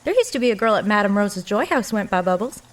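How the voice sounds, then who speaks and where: A woman's voice: mid-range voice, animated, quick pace, lightly acted. A woman playing a nosy, irreverent working-class maid with folksy rural American diction in an audio drama. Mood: gossipy, teasing.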